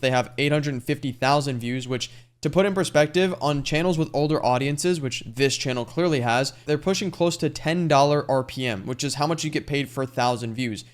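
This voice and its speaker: A young man coaching on YouTube channels, walking through a screen-share tutorial in a fast, casual, salesy way. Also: mid-range voice.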